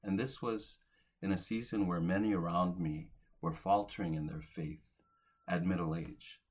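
A man in his forties preaching a sermon as a pastor, earnest, lifting to emphatic peaks and then dropping soft at the ends of phrases. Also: low voice, steady tone, normal speed, breathy edge. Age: 40s.

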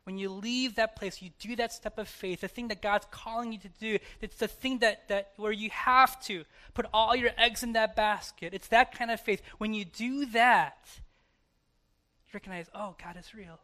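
A pastor preaching a sermon emphatically, moving between hushed and forceful delivery, with repetition and rhetorical questions. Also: mid-range voice, steady tone, normal speed.

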